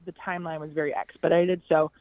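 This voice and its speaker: A woman's voice: deep voice, level tone, fast talker, no echo. A woman giving explanatory answers as an attorney being interviewed. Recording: muffled lo-fi interview clip.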